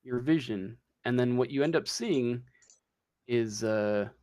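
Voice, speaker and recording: A man running a tabletop game as game master, improvising the narration haltingly as he thinks aloud. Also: medium pitch, a little mellow.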